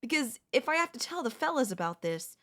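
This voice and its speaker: A woman's voice: high-pitched, sing-song, fairly bright tone. A woman voicing a chatty, teasing zombie in a comedic audio roleplay, talking casually and playfully straight to the listener. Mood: sheepish, embarrassed. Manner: casual, playful, taunting, slangy, drawn-out delivery. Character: chatty, teasing, cocky female zombie hunting a human survivor.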